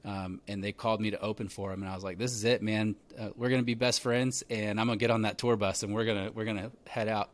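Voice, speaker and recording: A man in his thirties hosting a podcast, telling stories in a relaxed chatty way full of "like" and "man". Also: fairly low pitch, fast, hardly any pauses. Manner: relaxed storytelling, lots of fillers like "like" and "man". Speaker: podcast host. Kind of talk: casual conversational interview.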